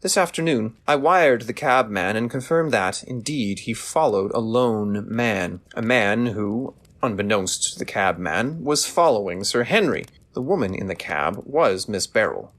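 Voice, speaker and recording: Shrewd detective, theatrical, authoritative, deliberate reasoning, widely swinging intonation, audio drama. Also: male voice, fairly low pitch, animated, a bit bright, heavily acted. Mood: accusing, assured.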